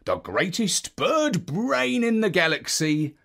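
A storyteller voicing a modest, friendly male dog who closes the story with a lively, up-and-down delivery. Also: mid-range voice, slow, vocal fry, exaggerated voice.

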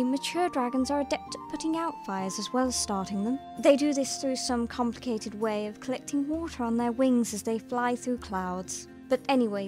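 A woman's voice: fairly high pitch, natural voice. A woman narrating a fantasy audio drama as a wry fairy-tale storyteller.

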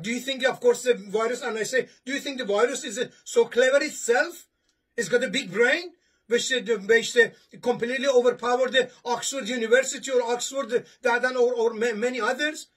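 Husky high-voiced man preaching a sermon. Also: a bit bright. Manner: earnest, repetitive, with many restarts, gesturing while reading from papers. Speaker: imam preaching a Friday sermon. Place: indoor study lined with bookshelves. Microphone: camera in front at a few steps.

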